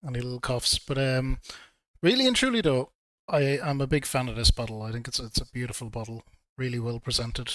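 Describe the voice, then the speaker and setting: A man reviewing whiskey in a relaxed, hesitant way, a little throaty from a cold. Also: mid-range voice, fairly quick, no echo. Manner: relaxed, hesitant with frequent ums. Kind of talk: casual video review.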